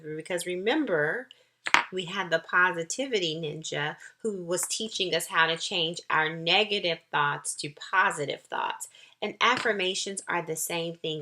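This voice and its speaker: A woman school counselor giving an encouraging, nurturing talk to young children, with deliberate, emphatic phrasing and pauses. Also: fairly low pitch, pushed and bright.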